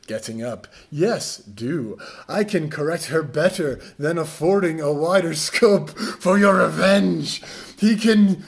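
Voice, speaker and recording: Theatrical man reading aloud, high-pitched and unhurried. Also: creaky. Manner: theatrical, overwrought, swinging between hushed and shouted delivery. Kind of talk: mock-dramatic theatrical reading of nonsense text.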